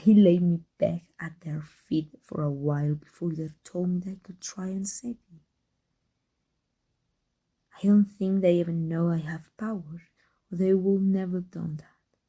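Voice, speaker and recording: A woman with a Mexican accent playing a proud, secretly devoted villainess in an intimate ASMR roleplay, halting and emotional. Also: on the low side, steady tone, warm tone. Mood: hushed, pained confession. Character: proud, flustered, secretly devoted villainess with life-transfer power.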